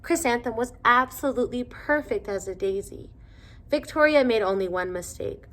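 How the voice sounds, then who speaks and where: A woman reading a children's storybook aloud in a deliberate, expressive manner. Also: on the high side. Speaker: storybook reader.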